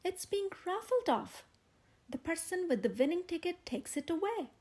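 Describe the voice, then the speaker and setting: A woman's voice: extremely high pitch, very animated, normal speed, darkish tone, voice pushed up. A woman reading the lines of a kindly male village vicar in a storybook, friendly and matter-of-fact. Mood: plain.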